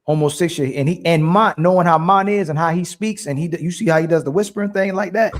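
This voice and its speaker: Animated man cutting in on a debate. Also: a little high, quick pace. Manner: animated, repeats names to cut in. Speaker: podcast host. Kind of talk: heated casual debate.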